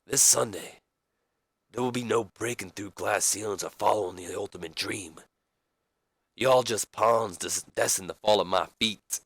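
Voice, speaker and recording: A man's voice: lowish voice, unhurried, a bit bright, breathy edge, no room sound, full character voice. A man voicing an ominous cult-leader wrestler, grandiose and taunting as he preaches. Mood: menacing.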